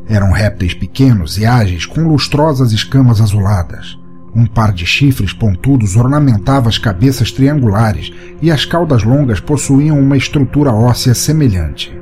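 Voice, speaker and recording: Mellow male story narrator over music. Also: mid-range voice, lively intonation, normal speed. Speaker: podcast narrator. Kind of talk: scripted short-story narration. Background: music under the voice.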